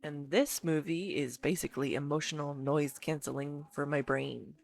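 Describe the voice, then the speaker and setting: A woman playing an earnest, bashful, talkative girlfriend in an intimate audio roleplay, speaking straight to the listener in a halting, teasing manner. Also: low voice, steady tone, creaky. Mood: earnest, absorbed.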